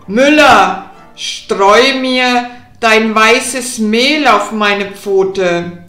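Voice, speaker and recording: A woman voicing a greedy storybook wolf, giving menacing, drawn-out commands. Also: a little high, sharp tone, reverberant, faint music behind, heavily acted. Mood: commanding. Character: greedy, threatening big bad wolf.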